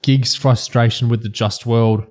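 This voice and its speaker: A man co-hosting a podcast discussion, speaking haltingly, phrase by phrase. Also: medium pitch, little pitch movement, a little mellow. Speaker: podcast co-host.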